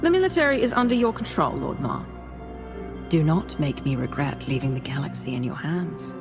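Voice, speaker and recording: An actress playing a cold, imperious dark lord in a video game, confident and threatening, with clipped, haughty delivery. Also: female voice, low-pitched, sing-song, moderate pace. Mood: threatening, commanding. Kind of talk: scripted video game acting. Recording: game music behind the voice.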